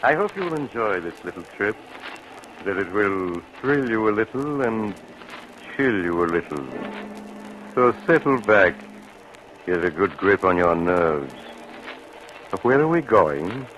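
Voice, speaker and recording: An actor playing a grave, kindly doctor who tells eerie tales in a measured, formal, ominous way, in 1940s radio drama style. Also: male voice, on the low side, big pitch swings, a bit bright. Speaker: narrator and doctor. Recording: noisy vintage radio recording.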